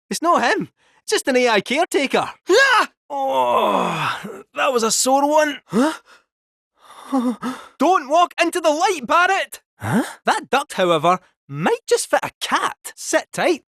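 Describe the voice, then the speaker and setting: A man's voice: extremely high pitch, a bit bright, dry recording, clean studio sound, full character voice. A man voicing a chatty male robot cat fortune-teller with a Scottish accent, cheeky and folksy, full of rhyming quips. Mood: playful bravado. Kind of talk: video game voice acting.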